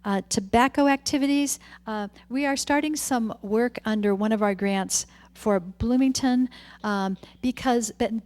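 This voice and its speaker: A woman's voice: mid-range voice, darkish tone. A woman, a public health official presenting plans to a city committee in an informal way, walking through her slides.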